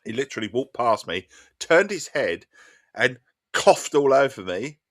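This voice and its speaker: A man's voice: medium pitch, big pitch swings, unhurried. A man with an Essex accent chatting casually and rambling while he streams a game, stuffy and congested from a cold, with a casual habit of swearing. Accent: Essex English. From Essex, England. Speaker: livestreamer. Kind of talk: casual chat while gaming. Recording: loud game vehicle noise behind.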